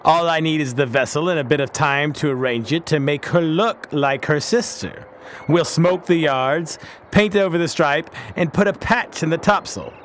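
A man's voice: on the high side, normal speed, a bit bright, a little hiss or hum, close to an everyday voice. A man playing an earnest, pious reformed pirate captain in a radio drama, speaking bluntly in formal old-fashioned language. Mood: determined.

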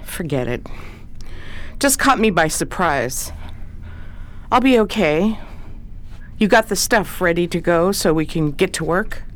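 An actress playing a shrewd, cool 1920s adventuress who talks tough and wry in a radio crime drama. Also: female voice, on the low side, expressive, measured pace, long pauses, a little hiss or hum. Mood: shaken, brusque. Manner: wry, slangy, tough talk.

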